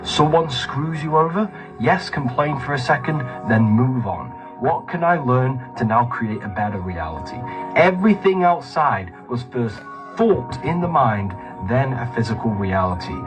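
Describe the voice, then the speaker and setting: Male motivational speaker, medium pitch, instructive and emphatic, with prominent music underneath. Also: very animated, unhurried, poor audio. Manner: instructive, emphatic, rhetorical questions. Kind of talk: motivational teaching on mindset. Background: loud music.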